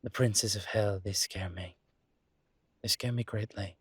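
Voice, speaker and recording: Man playing a slow, husky, refined ancient demon. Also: on the low side, fairly even intonation, dry recording. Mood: wary, uneasy. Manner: measured, halting, wry, formal phrasing. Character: refined, wary, ancient male demon professor. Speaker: voice actor in audio roleplay. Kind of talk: intimate audio roleplay monologue.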